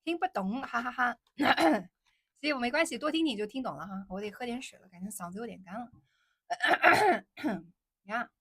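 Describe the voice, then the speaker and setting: Young woman, higher voice, dry close sound; chatty livestream host who echoes viewer questions back. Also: animated, moderate pace, a bit bright, breathy edge. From China. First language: Chinese. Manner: chatty, repeats questions aloud, switches between English and Mandarin. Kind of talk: casual livestream chat reading viewer comments.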